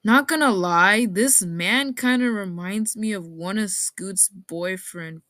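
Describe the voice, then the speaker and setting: A woman's voice: medium pitch, takes it slowly, noisy background. A woman giving casual reaction commentary on a cartoon, blunt and offhand.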